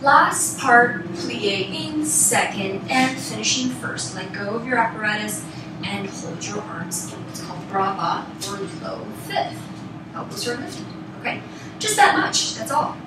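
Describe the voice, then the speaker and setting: A woman's voice: on the high side, big pitch swings, a little slow, very noisy. A woman teaching a ballet class, giving encouraging explanations and short rhythmic cues and counts. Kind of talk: instructional dance class, calling counts and cues. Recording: very echoey room, distant mic, loud music. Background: loud music.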